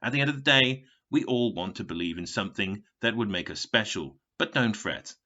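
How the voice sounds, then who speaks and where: An actor playing a smug, taunting television game show announcer with glib, theatrical, condescending patter in an audio drama. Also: male voice, lowish voice, expressive, a little fast, sharp tone, lo-fi sound. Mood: slick, teasing.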